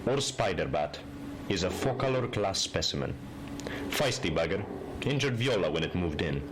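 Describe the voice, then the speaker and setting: A man's voice: deep voice, big pitch swings, normal speed, background noise. A man playing a wary, conscientious research officer dictating a clipped specimen log in an audio drama. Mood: wary, reporting.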